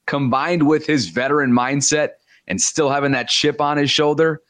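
A man's voice: medium pitch, dry recording. Upbeat male sports show co-host. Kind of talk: scripted sports talk.

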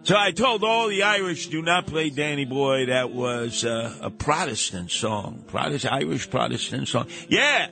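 A man's voice: medium pitch, animated, a little slow, vocal fry, hardly any pauses, sharp tone. A man with a New York accent hosting a talk radio show, delivering a combative, emphatic, slangy monologue. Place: radio studio. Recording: music playing behind the voice.

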